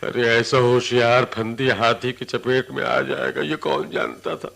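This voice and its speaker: An actor playing an oily, greedy village moneylender feigning sympathy in a Hindi radio drama, gravelly and drawling, repeating his phrases with questioning tags. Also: mid-range voice, little pitch movement, a little slow, fairly bright tone. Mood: mock mourning.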